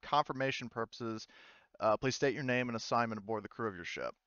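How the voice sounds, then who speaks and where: A man's voice: medium pitch, brisk, fairly bright tone, dry recording, muffled recording, natural voice. A man voicing a formal, officious police inspector in a tabletop game, asking procedural questions. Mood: formal, probing.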